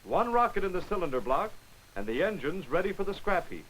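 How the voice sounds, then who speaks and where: A man giving brisk, wry scripted narration for a 1940s military training film, on an old film soundtrack. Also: sits high, sing-song, heavy background noise.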